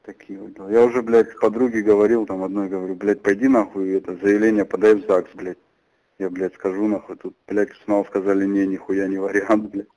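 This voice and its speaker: Low-voiced man swearing on a phone call. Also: level tone, fairly quick, warm tone. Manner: blunt, profane. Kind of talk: casual phone chat with heavy swearing.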